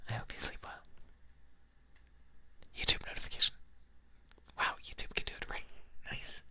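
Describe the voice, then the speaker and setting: A live streamer chatting casually to viewers in a hushed, breathy whisper, unhurried and conversational, in an ASMR style.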